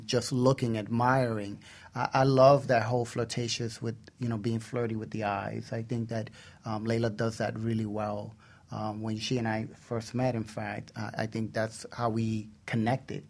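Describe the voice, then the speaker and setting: A man's voice: medium pitch, flat delivery, moderate pace. A man hosting a casual radio discussion, talking in an earnest, conversational way. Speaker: radio show host.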